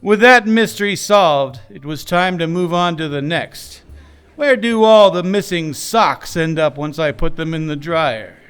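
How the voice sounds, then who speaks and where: A melodramatic noir hero played by a man in a comic radio advert. His voice is high for a man and smooth, with a tongue-in-cheek dramatic delivery over music. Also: unhurried, a bit bright. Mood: mock-dramatic. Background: music behind the voice.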